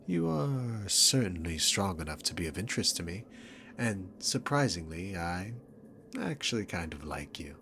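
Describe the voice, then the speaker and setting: A man's voice: sits low, big pitch swings, moderate pace, gravelly, pushed and bright, slight background noise, exaggerated voice. A man playing a dominant, sarcastic demon king villain in a scripted ASMR roleplay, with smug, teasing, theatrical phrasing. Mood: amused, coaxing.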